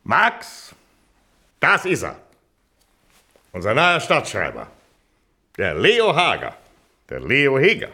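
An actor playing a jovial, self-important middle-aged small-town mayor in a radio drama, with a pompous, chummy manner. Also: male voice, medium pitch, wildly swooping pitch, slow pace, long pauses, bright, forceful tone, breathy edge, exaggerated voice. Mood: hearty.

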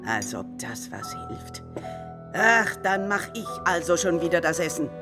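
A woman's voice: on the low side, big pitch swings, measured pace. An actress playing a nosy, grumbling older mother in a German radio drama, with a curt, nagging manner. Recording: loud music under voice.

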